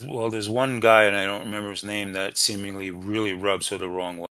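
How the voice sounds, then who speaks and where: A man co-hosting a casual book discussion, speaking in a halting, questioning way and often repeating himself. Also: lowish voice, level tone, sharp tone, no echo, clean studio sound. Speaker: podcast co-host.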